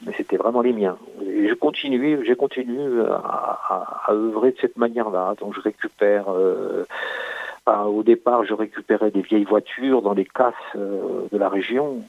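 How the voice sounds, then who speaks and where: A man in his sixties from Italy tells his own story slowly, stumbling over words and starting again. His voice sits low, with a rough, gravelly edge. The sound is dry and narrow, like a phone line. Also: dry recording. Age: 60s. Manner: halting, many restarts and hesitations. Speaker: sculptor. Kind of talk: recounting his life story.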